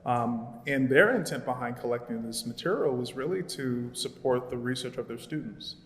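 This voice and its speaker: A man on a panel talk speaking earnestly about archives, pausing often to find his words. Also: medium pitch, animated, a bit bright. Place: gallery event space. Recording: echoey gallery room with long reverb.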